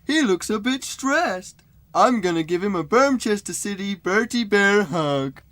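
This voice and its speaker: A man's voice: high-pitched, animated, a little slow, a bit bright, dry recording. A man playing a well-meaning, clumsy football mascot bear in a comic radio sketch, playful and naive. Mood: cheerily unbothered.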